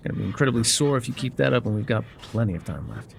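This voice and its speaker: An actor playing a gruff, guarded male trail guide in an immersive audio roleplay, with wry, folksy, laconic phrasing. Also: mid-range voice, lively intonation, quick pace, a little hiss or hum. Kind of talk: immersive ASMR roleplay acting, talking to the listener.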